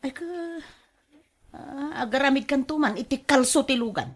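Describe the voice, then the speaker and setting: A woman's voice: high voice, moderate pace, close to an everyday voice. A woman with an Ilocano accent playing a covetous, scheming wife in a radio drama, exclaiming, wheedling and sighing. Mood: restless, fretful. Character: covetous, scheming wife craving a car of her own.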